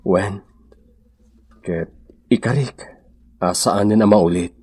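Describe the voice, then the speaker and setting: A low, very raspy husband with an Ilocano accent. He is a sheepish philanderer, coaxing slowly and hesitantly as in a radio drama. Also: a little mellow. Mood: apologetic, pleading.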